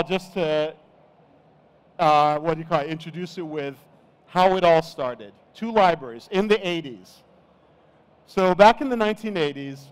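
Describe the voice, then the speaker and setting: A middle-aged man from Nigeria giving a relaxed, chatty conference talk on stage. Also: fairly high pitch, clear, resonant tone, poor audio. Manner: relaxed, chatty, self-deprecating. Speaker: conference speaker.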